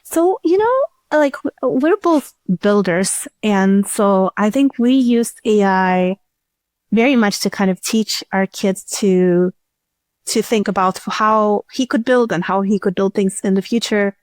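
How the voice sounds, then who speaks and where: A woman's voice: medium pitch, unhurried, darkish tone, no room sound. Woman guest chatting in a dry recording. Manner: informal, explanatory. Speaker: podcast guest, tech startup CEO. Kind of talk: casual conversational interview.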